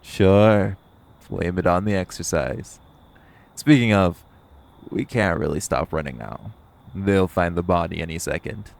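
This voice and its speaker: Man playing a cocky, gravelly male vampire. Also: low-pitched, unhurried. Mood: teasing, then urgent, wry. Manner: sardonic, swearing, bantering. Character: cocky, teasing, flirtatious male vampire, long-lived yet youthful. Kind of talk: audio roleplay acting.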